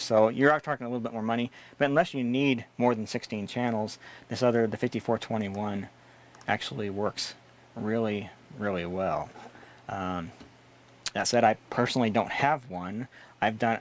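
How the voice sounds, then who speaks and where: A man's voice: medium pitch, a little hiss or hum. A man hosting a gear video, explaining products in a casual, conversational way.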